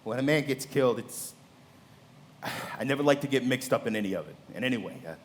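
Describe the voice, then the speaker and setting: A man acts out an evasive older businessman in a radio drama. He talks in halting, hesitant phrases, wary of getting involved, and the sound rings with the long reverb of a hall. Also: medium pitch, animated, a little hiss or hum, natural voice. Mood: reluctant, subdued. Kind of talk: live radio play acting.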